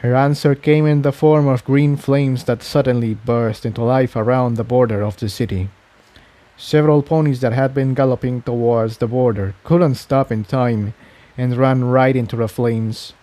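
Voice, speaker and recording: A man's voice: mid-range voice, fairly even intonation, moderate pace, dark tone. A man narrating a scripted adventure story as an audiobook-style reading. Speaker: fan fiction narrator.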